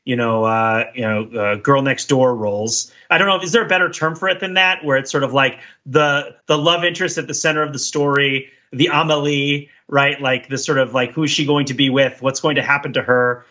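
A man's voice: mid-range voice, steady tone, fairly quick, few pauses, pushed and bright, highs cut off. A man co-hosting a podcast, chatting casually and analytically in long, discursive, layered arguments. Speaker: podcast co-host. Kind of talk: casual analytical panel chat about TV.

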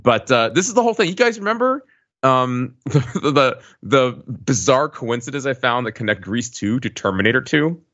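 A man's voice: mid-range voice, animated, moderate pace, a bit bright, dull audio. A man in his forties in casual conversation as a podcast co-host. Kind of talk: casual chat.

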